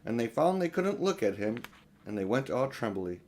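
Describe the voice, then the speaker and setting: A man's voice: mid-range voice, sing-song, normal speed, darkish tone, a little hiss or hum. Older man reading a storybook aloud. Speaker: audiobook reader. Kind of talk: storybook reading with informal asides.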